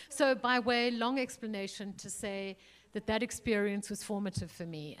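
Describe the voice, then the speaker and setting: A middle-aged woman from South Africa with a South African accent, speaking earnestly at a panel, with frequent ums and restarts. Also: on the low side. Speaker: museum CEO, panelist. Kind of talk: panel remarks. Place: event hall.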